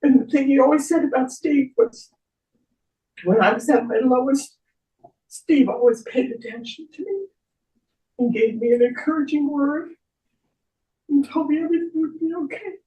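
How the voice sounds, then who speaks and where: A woman's voice: high voice, moderate pace, pauses often, dark tone. An older woman speaking with emotion as she gives a personal eulogy at a memorial. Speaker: memorial speaker, friend of the deceased. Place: echoey indoor memorial gathering. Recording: echoey room, distant microphone.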